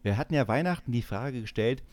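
A man's voice: medium pitch, expressive, fast, darkish tone. A man co-hosting a casual banter podcast, teasing and playfully mock-enthusiastic. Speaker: podcast co-host.

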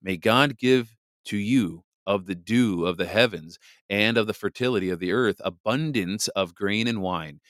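A man reading the part of an old blind father blessing his son, slow and solemn. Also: lowish voice, a bit bright, no echo, studio quality, close to an everyday voice.

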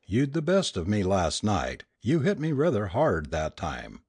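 A man voicing a coarse old-time burglar who talks in rough working-class slang, eager and pleading. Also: fairly low pitch, wildly swooping pitch, measured pace, a little mellow, no room sound, full character voice. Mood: wheedling.

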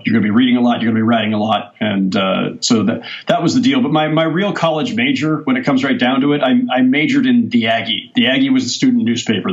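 A man's voice: mid-range voice, highs cut off. A sportswriter in his forties, a guest on a podcast, telling his story in a thoughtful, rambling way. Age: 40s. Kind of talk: casual interview talk.

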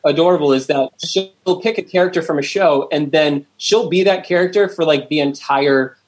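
A man co-hosting a casual podcast chat, speaking in a relaxed way with plenty of ums and likes. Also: fairly high pitch, moderate pace, poor audio. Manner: chatty, many ums and likes. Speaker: podcast co-host.

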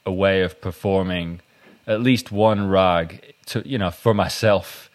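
A man's voice: low voice, fairly even intonation, unhurried. A man in casual conversation who is learning the violin, tentative and often trailing off. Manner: tentative, trails off and hedges a lot.

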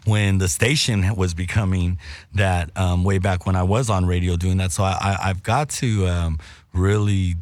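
A middle-aged man with a somewhat low, slightly raspy voice rambles easily through a relaxed conversation, full of slang and restarts. The sound is dry and close, with no room around it. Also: moderate pace, dry recording. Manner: laid-back, rambling with many restarts and slang. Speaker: guest, former radio host. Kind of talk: casual chat.

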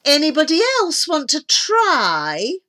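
A smug, menacing male rustler played by a woman in a children's storybook. He is taunting and forceful, with a raised, bright voice. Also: high voice, lively intonation, slow pace, pitched above the performer's own voice, exaggerated voice. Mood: gloating menace.

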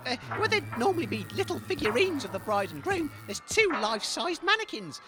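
A man's voice: very high voice, fairly quick. A man voicing a dim, jittery sidekick reporter who panics and blurts out silly ideas. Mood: panicky. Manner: dopey, panicky, excitable. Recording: loud background noise.

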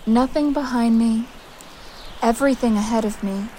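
High-voiced resolute young woman, acted. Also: normal speed, dark tone, slight background noise, natural voice. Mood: gentle resolve. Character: resolute young female exile, tender but firm. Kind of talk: audio drama acting.

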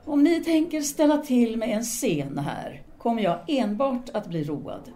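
A woman's voice: a little high, big pitch swings, warm tone. A woman playing a hard, scornful, self-possessed intellectual in an audio drama, with a cool, formal, cutting manner.

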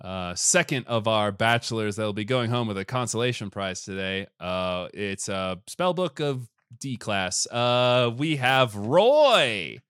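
A man with a booming voice hosting a podcast as a theatrical dating game show announcer. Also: expressive, unhurried, hardly any pauses, fairly bright tone, clean tone, dry recording, clean studio sound. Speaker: podcast host.

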